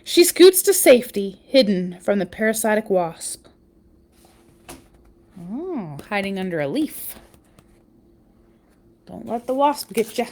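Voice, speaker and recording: A woman reading a children's picture book aloud, with expressive storytelling emphasis and chatty asides. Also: medium pitch, slow pace, pauses often. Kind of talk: animated read-aloud storytelling with casual asides.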